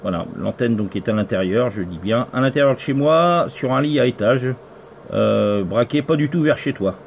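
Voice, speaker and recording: A man's voice: medium pitch, animated, moderate pace, creaky, a little hiss or hum. A man, a radio operator, chatting casually on a two-way radio contact from indoors at home.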